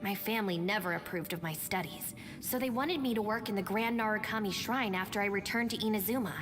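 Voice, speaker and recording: A woman acts out a composed young student from a video game. Her mid-pitched voice is measured and matter-of-fact. It rings with reverb over music. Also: close to an everyday voice. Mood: composed, reflective. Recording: long reverb, music behind.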